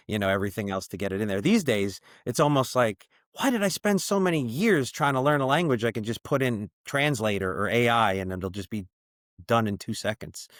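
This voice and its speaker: A middle-aged man tells family stories in an easy, rambling podcast chat, leaning on "you know" and acting out quotes. His voice sits fairly low. The sound is dry and close, with no room around it. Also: dry recording. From born in Chile, raised in the US. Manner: chatty storyteller, many "you know" fillers, animated when quoting. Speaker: brewery founder, podcast guest. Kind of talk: casual conversational interview.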